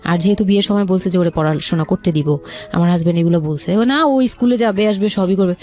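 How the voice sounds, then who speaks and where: A woman's voice: on the low side, fast talker, darkish tone, soft background music. A woman with a Bangladeshi accent telling her personal story as an interview guest, colloquially, often repeating and rephrasing herself.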